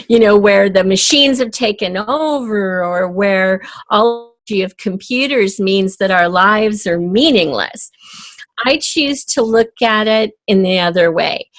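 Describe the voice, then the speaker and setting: A woman being interviewed as an audio drama creator, explaining her ideas in a measured, deliberate way. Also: medium pitch, no echo.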